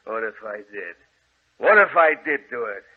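An actor playing a scheming, jealous brother in an old-time radio horror drama, speaking in an urgent, commanding, melodramatic way. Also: male voice, mid-range voice, big pitch swings, moderate pace, breathy edge, phone-quality sound. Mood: gloating menace. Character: scheming, jealous brother, cold villain feigning concern. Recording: thin, band-limited vintage radio recording.

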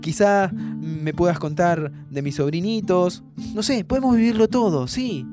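A young Argentine man with a high, very animated voice. He is hopeful and playful yet pleading, speaking in halting, informal phrases over background music, as in intimate audio fiction. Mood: eager, wistful. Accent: Argentine, Buenos Aires. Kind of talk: naturalistic audio fiction acting.

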